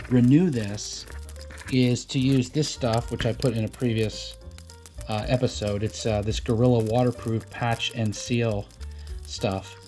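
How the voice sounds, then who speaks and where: Man casually talking through a DIY project. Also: medium pitch. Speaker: DIY boat vlog host. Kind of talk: casual unscripted how-to chat.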